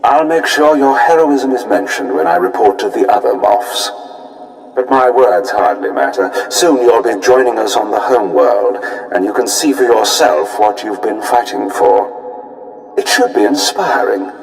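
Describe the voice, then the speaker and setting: A man's voice: on the low side, sing-song, a little slow. A man acting a smug, genteel imperial commander in a video game, speaking with formal, suave assurance. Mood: smug satisfaction. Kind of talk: scripted video game dialogue. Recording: echoey transmission with music underneath. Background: game music.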